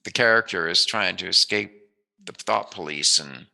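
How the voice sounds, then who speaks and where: Gravelly, bright-voiced man chatting as interviewer. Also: deep voice, moderate pace. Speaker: interviewer, host. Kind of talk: casual interview chat.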